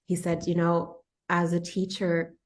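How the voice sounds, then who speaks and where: A woman in her thirties, a yoga teacher interviewing a friend, telling stories in a casual, animated way and often laughing. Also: on the low side, unhurried. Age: 30s. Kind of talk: casual conversational storytelling.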